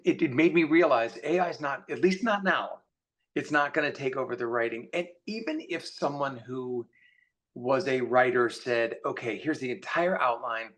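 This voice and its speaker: A man's voice: medium pitch, a bit bright. A man speaking as a guest author in a casual panel conversation, earnest and opinionated as he explains his views.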